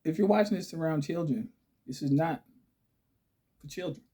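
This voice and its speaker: A man hosting his own channel in a casual, chatty way, with a raspy voice, often checking in with "okay?" and "right?". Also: fairly high pitch, normal speed, mellow. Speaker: channel host. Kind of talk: casual direct-to-camera talk.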